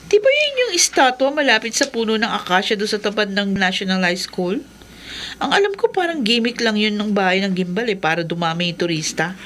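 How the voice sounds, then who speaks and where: A woman's voice: mid-range voice, fairly quick, slight background noise. A woman with a Filipino accent playing a haughty, nagging wife in a theatrical radio drama. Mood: skeptical. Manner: sharp, expressive, theatrical.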